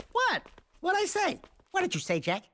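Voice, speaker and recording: An actor voicing a small, cocky, sarcastic male animal sidekick in an animated video game. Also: sits high, heavily acted. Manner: sarcastic, mouthy banter.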